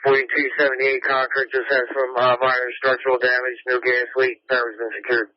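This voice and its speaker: A man's voice: medium pitch, monotone, brisk, dry recording. A man reporting as a fire officer from the field over a two-way radio, terse and matter-of-fact. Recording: noisy, dull lo-fi scanner radio.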